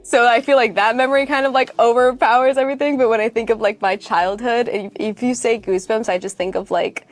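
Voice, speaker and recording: Gushing, slangy young-sounding actress. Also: female voice, fairly high pitch, brisk, few pauses, soft background music. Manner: gushing, slangy, animated storytelling. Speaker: actress being interviewed. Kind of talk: casual promotional interview.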